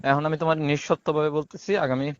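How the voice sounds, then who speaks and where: Quick, coaxing Bangladeshi man on phone. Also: fairly high pitch, flat delivery. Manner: coaxing, repetitive. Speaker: caller. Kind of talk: private phone conversation mixing in English words. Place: recorded phone call. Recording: muffled phone call recording.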